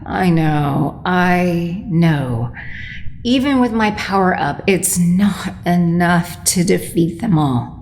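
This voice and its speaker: A woman playing a weary, commanding evil sorceress empress in an audio drama, speaking deliberately and imperiously. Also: lowish voice, animated, a little mellow. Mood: frustrated, weary.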